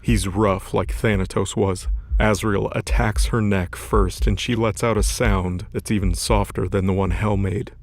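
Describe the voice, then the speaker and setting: A man's voice: fairly low pitch, steady tone, a little slow, darkish tone. A man reading scripted, dramatic present-tense narration for an audio drama.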